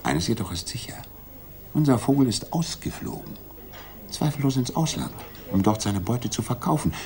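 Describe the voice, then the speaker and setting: An actor playing a confident, methodical Victorian detective in a 1960s German radio play, reasoning in a deliberate, commanding way. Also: male voice, medium pitch, animated, darkish tone, natural voice. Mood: cautioning, brisk. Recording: noisy 1960s radio drama recording.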